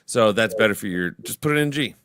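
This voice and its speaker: A man's voice: lively intonation, quick pace, a bit bright, no echo, clean studio sound. A man hosting a livestream in casual, rambling chat, full of crude jokes and filler words. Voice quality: baritone. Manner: rambling, crude joking banter. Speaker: livestream host.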